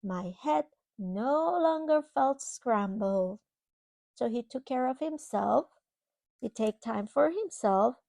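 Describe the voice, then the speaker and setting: A woman reading a children's picture book aloud, expressively, pausing to explain things to young listeners. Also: fairly high pitch, creaky, mellow, dry recording. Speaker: children's storybook reader. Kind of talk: read-aloud storytelling to young children with simple asides.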